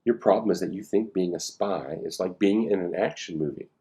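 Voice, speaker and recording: A man's voice: deep voice, fairly quick, mellow. A man reading the storybook dialogue of a firm, logical, know-it-all schoolgirl spy in a matter-of-fact way. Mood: dry, confident. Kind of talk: storybook read-aloud dialogue.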